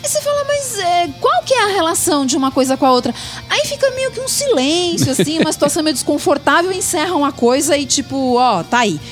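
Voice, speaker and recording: Female podcast co-host, high voice, opinionated, faint music under. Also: very animated, fast. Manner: opinionated, exasperated. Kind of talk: casual chat.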